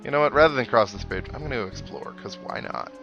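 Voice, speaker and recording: A man with a medium-pitched voice talks casually through a video game he is playing. His delivery is wry and sarcastic, with a mock-exasperated edge. Game music plays clearly behind him. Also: expressive, fast talker, dull audio. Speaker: host of a video game playthrough. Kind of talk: casual gameplay commentary.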